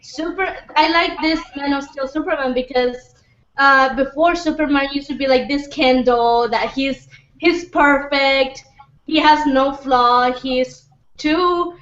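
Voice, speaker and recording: A woman in a casual group discussion, making her points haltingly and repeating words as she goes. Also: high voice, a bit bright. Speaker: panelist in a film discussion. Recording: dull, echoey room recording.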